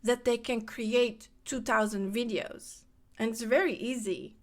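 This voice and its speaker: Lady podcasting about spirituality, higher voice, lively and repeats herself a lot. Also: measured pace. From Netherlands. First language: Dutch. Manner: animated, repeats phrases for emphasis. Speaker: podcast host. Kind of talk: casual spiritual monologue.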